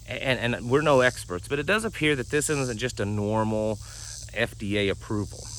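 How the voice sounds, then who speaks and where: A man hosting his own channel, talking casually with a raspy, worn-out voice and many fillers and restarts. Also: on the low side, fairly bright tone, slight background noise, low-quality recording. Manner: rambling, frequent fillers and restarts. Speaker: channel host. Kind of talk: casual talk to camera.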